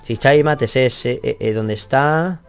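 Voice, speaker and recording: A man's voice: mid-range voice, measured pace, clean tone, faint music behind. A young man whose first language is Catalan, reading viewer comments from a small office and answering them in a rambling, self-deprecating way, swearing casually. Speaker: math youtuber.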